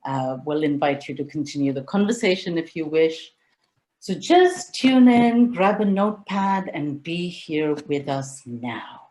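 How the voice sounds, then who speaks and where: A middle-aged woman who grew up in India, presenting an online webinar over a video call in a measured, step-by-step way. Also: low-pitched, very animated, mellow.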